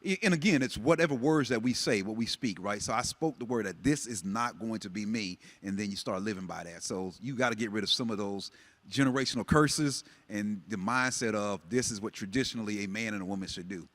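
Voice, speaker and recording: A man's voice: on the low side, a little fast, fairly bright tone. A man born in Jamaica and raised in the United States, giving earnest, reflective personal testimony on a panel. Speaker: relationship panel guest.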